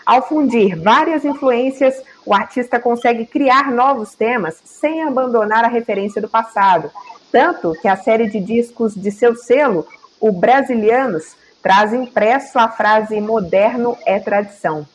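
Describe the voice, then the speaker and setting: A woman hosting a radio interview, reading a measured scripted introduction and asking clear questions. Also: on the high side, slight background noise, rough recording.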